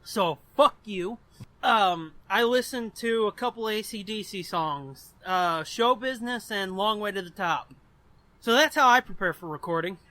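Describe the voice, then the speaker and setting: A man's voice: high-pitched, expressive, unhurried, pushed and bright, dry recording. A man co-hosting a podcast in casual chat, crude and swearing, laughing often. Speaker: podcast co-host.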